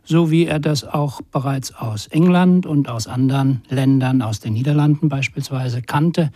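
A man, a scholar, explaining hesitantly in an interview with a husky voice, searching for words. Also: medium pitch, normal speed, mellow, dry recording, studio quality. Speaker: communication scholar.

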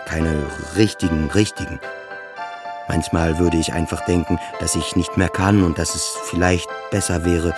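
A very deep-voiced depressed man. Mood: ashamed, anxious. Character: depressed, self-doubting husband narrating his psychiatric stay. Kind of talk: introspective first-person radio play narration.

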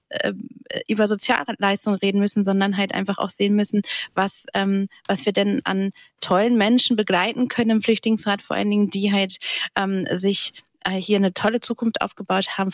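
A woman's voice: mid-range voice, no room sound, studio quality. A woman who is a lawyer and refugee council member, speaking as a guest on a radio discussion, conversational and hesitant. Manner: conversational, hesitant, frequent fillers.

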